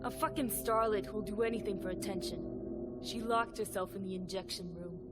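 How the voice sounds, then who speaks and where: A woman's voice: medium pitch, moderate pace, close to an everyday voice. An actress playing a scornful, impatient vampire woman with a blunt, disdainful manner in a video game. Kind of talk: video game drama acting. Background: game music.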